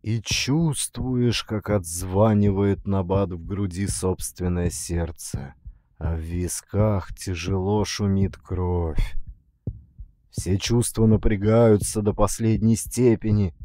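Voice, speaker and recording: A male narrator reads a literary tale with dramatic suspense. He takes his time over each phrase, in a fairly low voice. The sound is dry and close. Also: slow pace, dry recording. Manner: suspenseful, expressive storytelling. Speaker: audiobook narrator, actor.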